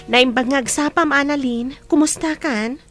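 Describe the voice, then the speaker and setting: A kind young woman in a radio soap. She is a discreet, solicitous friend, speaking earnestly in a somewhat high voice. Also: measured pace, a bit bright, soft background music. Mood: friendly. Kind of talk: radio drama acting.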